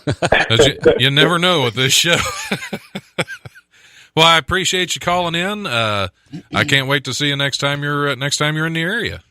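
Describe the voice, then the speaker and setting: A man's voice: fairly high pitch, moderate pace, pushed and bright, clear, resonant tone, dry recording. A man hosting a radio show from a studio, chatting casually, laughing often and joking at his own expense. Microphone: studio microphone.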